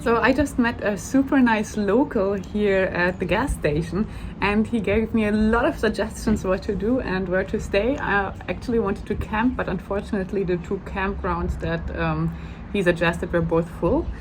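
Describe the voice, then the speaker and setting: A woman's voice: medium pitch, moderate pace, background noise. A woman who is not a native English speaker, vlogging an enthusiastic road trip in a chatty voice-over. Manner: chatty and enthusiastic, slightly non-native phrasing. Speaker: travel vlogger. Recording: guitar music playing underneath.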